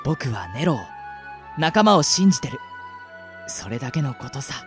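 Man playing an earnest young boy hero, very expressive. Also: medium pitch, moderate pace, soft background music, natural voice. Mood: sincere. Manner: soft-hearted, earnest, polite. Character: earnest, loyal, gentle young adventurer boy. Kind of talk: amateur radio drama acting.

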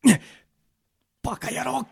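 A man's voice: slow pace, a little mellow, natural voice. A man acting an earnest, easily flustered yakuza underling, stammering and melodramatic in a radio drama. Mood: tearful, determined. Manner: stammering, melodramatic, rough henchman's slang.

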